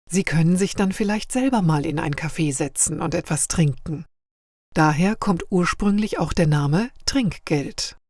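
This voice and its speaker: Low-voiced woman reading a dry studio lesson. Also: darkish tone, dry recording. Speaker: narrator of language-learning listening texts. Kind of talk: scripted narration, read-aloud lesson for learners.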